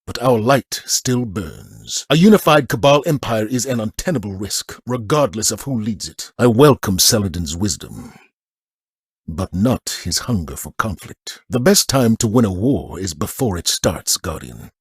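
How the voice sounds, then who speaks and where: An actor playing a grave, steadfast military commander in a video game, speaking in a solemn, measured, authoritative way. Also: male voice, lowish voice, expressive, husky, no room sound, studio quality. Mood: grave, resolute. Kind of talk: scripted video game voice acting.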